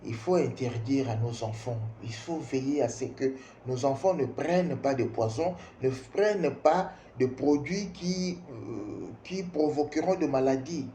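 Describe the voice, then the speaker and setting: A man's voice: medium pitch, lively intonation, measured pace, mellow. A man in his fifties from Kinshasa with a Congolese accent, speaking emphatically to parents, repeating words and asking rhetorical questions. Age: 50s. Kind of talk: spoken opinion piece addressing parents. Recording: roomy echo, faint background noise.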